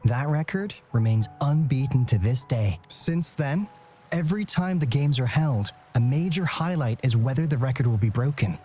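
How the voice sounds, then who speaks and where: A man's voice: medium pitch, lively intonation, moderate pace, warm tone, faint music behind, close to an everyday voice. A man playing an earnest, cautious young relay racer in a video game, polite, tentative and thoughtful. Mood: hesitant, wistful.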